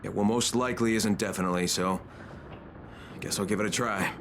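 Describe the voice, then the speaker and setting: A man playing a gruff, defiant and determined undercover operative in a video game. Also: fairly low pitch, fairly even intonation, brisk, background noise, natural voice. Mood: gruff, insistent. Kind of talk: video game cutscene acting.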